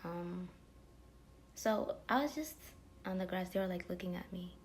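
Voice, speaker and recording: A woman's voice: lowish voice, measured pace, a little hiss or hum. A woman vlogger chatting to camera in a rambling, casual way, full of fillers and dropping now and then into whispery asides. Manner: drifting, many fillers, drops into whispery asides.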